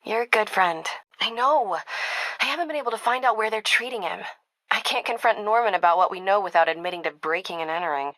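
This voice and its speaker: Actress playing young American woman reporter, wry and caring, medium pitch, conversational, video game dialogue, dry close recording. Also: fast talker, bright, forceful tone, no room sound, close to an everyday voice. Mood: earnest.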